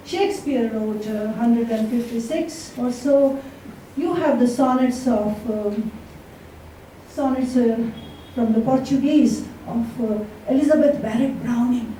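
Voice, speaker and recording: A woman speaks from a distance in a very echoey room, with faint noise. Her voice is fairly high and she addresses a literary event effusively, stressing and savoring her phrases. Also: mellow. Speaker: event speaker introducing readers. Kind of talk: live literary introduction speech.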